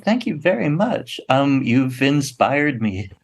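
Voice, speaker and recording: A man's voice: medium pitch, sing-song, slow pace, vocal fry. A man talking casually as a guest in a podcast interview. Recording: faint noise with clicks.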